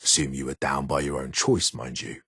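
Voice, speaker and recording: Older ghoul, British accent, low pitch, extremely husky, irritable, curt, video game dialogue. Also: male voice, steady tone, normal speed, a bit bright, dry recording, clean studio sound, heavily acted. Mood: gruff, reminiscing. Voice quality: raspy, gravelly. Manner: curt, guarded, grumbling. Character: gruff, irritable older male ghoul weapons engineer.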